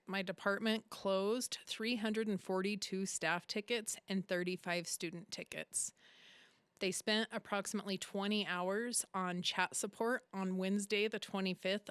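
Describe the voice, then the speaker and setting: A woman's voice: mid-range voice, fairly bright tone, clear, resonant tone, no echo. Female tech official delivering a prepared public update. Clean, resonant tone with very little room sound. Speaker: school district chief information officer.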